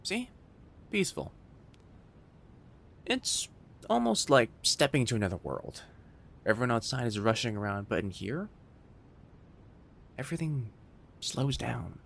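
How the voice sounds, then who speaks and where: A man playing a friendly, attentive young kitsune college student in an audio roleplay, speaking directly to the listener with soft teasing and hesitant pauses. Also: medium pitch, sing-song, creaky, heavily acted. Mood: calm, musing. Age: young adult. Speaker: campus guide to a new student. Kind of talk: scripted boyfriend-style audio roleplay.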